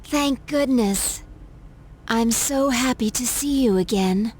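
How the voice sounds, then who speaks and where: Polite, soft-spoken android girl for a game cutscene: high voice, slow, careful delivery. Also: slight background noise. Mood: earnest. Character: gentle earnest female android girl. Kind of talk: video game cutscene voice acting.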